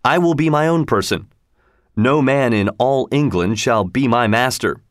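A man's voice: mid-range voice, a little slow, no echo, studio quality, natural voice. A man reading a storybook, voicing a bold male stranger who answers bluntly and defiantly.

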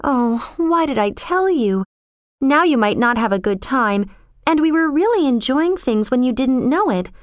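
A woman's voice: sits high, animated, unhurried, no room sound, studio quality, pitched above the performer's own voice. A woman storyteller voicing a spoiled rich young girl, emotional and blithely callous, in a dramatic, pleading manner. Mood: earnest, wistful.

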